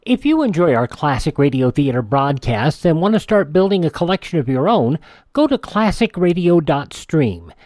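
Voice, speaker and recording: A man's voice: fairly high pitch, big pitch swings, moderate pace, a little mellow, no echo. A man with an American accent announcing a radio program from a script, as its host.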